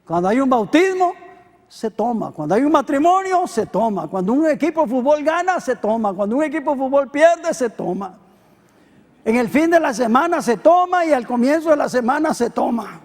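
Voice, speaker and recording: A middle-aged Costa Rican pastor preaching a sermon, swinging between loud emphatic peaks and quiet low asides. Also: male voice, sing-song, normal speed. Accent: Costa Rican Spanish. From Costa Rica. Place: church hall. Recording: long hall reverb.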